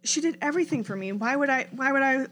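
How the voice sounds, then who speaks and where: A woman's voice: high voice, animated, quick pace, a bit bright. A young adult woman co-hosting a podcast, speaking in an earnest, chatty way and agreeing readily. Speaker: podcast co-host. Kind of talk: casual conversational chat.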